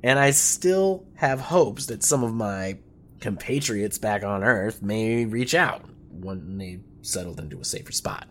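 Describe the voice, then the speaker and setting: An animated, grandiose rebel hacker. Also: male voice, on the low side, unhurried, a little hiss or hum. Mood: grandiose, hopeful. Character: eager, grandiose countercultural male hacker and experimenter. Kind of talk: scripted audio drama acting.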